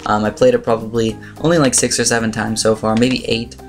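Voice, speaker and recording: A man with a slightly raspy voice giving casual, rambling game commentary as he explains strategy. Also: medium pitch, level tone, fairly quick. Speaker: gaming video host. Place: voice-over on screen-recorded gameplay. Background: music.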